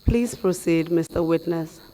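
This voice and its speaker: A young woman with a hoarse voice, questioning a witness formally and politely as commission counsel through a headset mic in a studio. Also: on the low side, background noise. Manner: measured, polite, flat delivery.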